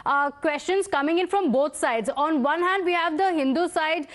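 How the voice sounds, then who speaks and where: A woman's voice: high-pitched, normal speed. A woman with an Indian accent anchoring live news and putting questions to correspondents.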